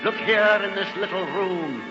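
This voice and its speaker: Solemn ghost, ringing and slow. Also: male voice, high-pitched. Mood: solemn commanding. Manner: grave, drawn-out, imperious. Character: solemn, commanding male ghost showing the past. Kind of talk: 1940s radio drama acting. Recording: dull old radio, long reverb, faint music.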